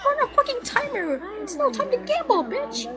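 A woman's voice: very high-pitched, big pitch swings, fairly quick, full character voice. A woman voicing a whiny young cartoon sidekick who nags and swears in an improvised video game fandub. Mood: exasperated. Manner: complaining, swearing, repeating questions. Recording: loud game music behind.

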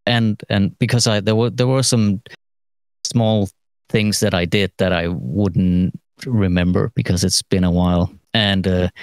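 A middle-aged man thinking aloud through an informal studio tutorial, halting and musing, with trailing phrases. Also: low voice, dry recording. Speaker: mixing engineer giving a lesson. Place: recording studio, seated at desk.

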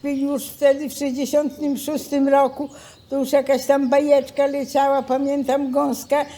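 Senior woman, highish voice, casual chatty reminiscence. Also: little pitch movement, moderate pace, warm tone. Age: 60s or older. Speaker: senior sharing memories.

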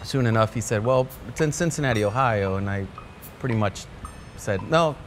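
Reflective chef. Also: male voice, lowish voice, lively intonation, slight background noise. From born in Colombia, raised in Queens, New York. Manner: reflective, halting, many restarts and "you know" fillers. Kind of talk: documentary interview. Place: restaurant dining room. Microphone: off-camera interview mic, seated close framing. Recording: music bed under the voice.

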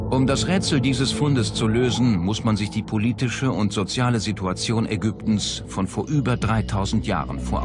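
A man reading scripted documentary narration. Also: lowish voice. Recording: loud music under the voice. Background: prominent background music.

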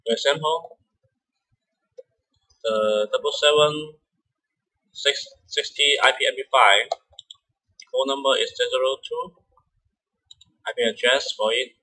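A man who is a non-native English speaker presents a step-by-step software tutorial in a halting way, often repeating words. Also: mid-range voice, fairly even intonation, rough recording. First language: not English.

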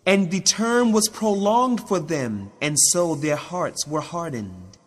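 A man narrating a scripted religious audiobook of translations in a measured, solemn manner. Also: fairly high pitch, expressive.

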